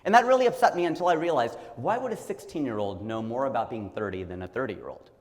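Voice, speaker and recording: A man's voice: medium pitch, lively intonation, fast, big-room sound. A man in his forties from Ohio, giving a motivational talk in a joking, conversational way and acting out the people he quotes. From Ohio, USA. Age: 40s. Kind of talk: stand-up style motivational talk.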